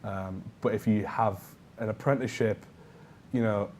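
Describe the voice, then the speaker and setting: A man's voice: lowish voice, fairly even intonation, moderate pace, a little mellow, a little hiss or hum. A young man with a North East English accent, chatting casually as a student show co-host. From Northern England. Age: young adult. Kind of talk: casual chat.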